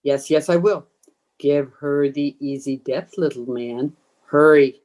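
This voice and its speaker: A woman's voice: deep voice, a little mellow. A woman playing a menacing, commanding undead ghost hungry for blood, in old time radio horror style. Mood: coaxing, commanding. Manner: slow, commanding, taunting. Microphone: video call.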